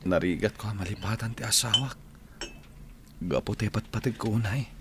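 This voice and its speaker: Man acting a low-voiced grieving Ilocano widower. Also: moderate pace, background noise, natural voice. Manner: sorrowful, earnest. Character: grieving young widower, weary devoted son. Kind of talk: Filipino radio drama acting.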